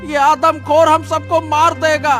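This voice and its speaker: Man acting a very high-pitched, urgent captive. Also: flat delivery, measured pace, music in the background. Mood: desperate pleading. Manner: urgent, repetitive, effusive. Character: frightened, pleading captive human prisoner. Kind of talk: dramatic animated story dialogue.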